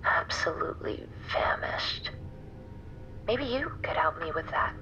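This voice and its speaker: An actress playing a seductive, predatory female vampire in an audio drama, purring slowly in a breathy whisper. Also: fairly low pitch, expressive, sharp tone, lo-fi sound, full character voice. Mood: seductive, hungry. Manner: slow, breathy, whispered purr.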